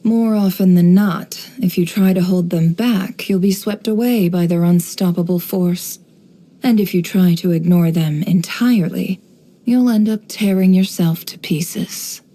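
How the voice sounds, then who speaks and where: Sly, mellow-voiced game schemer. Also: female voice, lowish voice. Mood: cool, cryptic. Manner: measured, coolly knowing, teasing. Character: sly, composed young woman, desert-born intelligence broker. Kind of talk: video game character voice lines.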